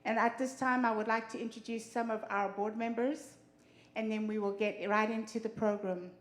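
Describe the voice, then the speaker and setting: A woman's voice: a little high, fairly even intonation, moderate pace. A woman from Zimbabwe hosting a church seminar, introducing board members and laying out the agenda in an organized way. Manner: warm, organized, introduces speakers and lays out the agenda. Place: church hall.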